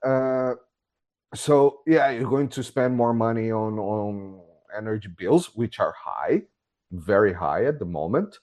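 A man's voice: fairly low pitch, animated, unhurried, darkish tone. A man from Brazil with a Brazilian accent, a guest in a casual video call interview, speaking bluntly and exasperatedly with many restarts. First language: Portuguese. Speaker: guest commentator. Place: home, hot room.

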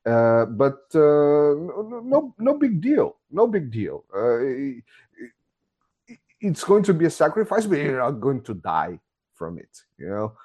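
A man's voice: a little high, huge pitch swings, a little slow, darkish tone, slightly rough. Man, Brazilian accent, Portuguese native, blunt exasperated tone, video call. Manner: blunt, exasperated, many restarts. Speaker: guest commentator. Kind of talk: casual interview chat. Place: home, hot room.

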